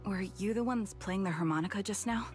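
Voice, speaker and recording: An actress playing a calm, mysterious woman in a video game, composed and formal in manner. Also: mid-range voice, a little fast, lightly acted. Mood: calm, courteous. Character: calm, mysterious blindfolded woman, stealthy agent. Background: game music.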